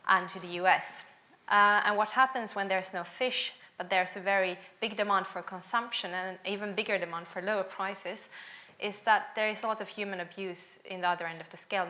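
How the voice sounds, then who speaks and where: Young female speaker from Finland, bright mid voice, earnest panel talk, restarts her sentences. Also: mid-range voice. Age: young adult. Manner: earnest, doubles words when starting sentences. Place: event hall with audience.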